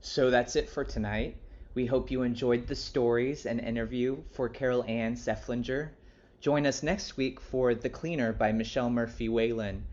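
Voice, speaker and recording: A man's voice: medium pitch, steady tone, moderate pace, a little mellow, highs cut off. A man hosting a casual interview, asking questions and addressing the audience in a conversational way. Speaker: interviewer, show host.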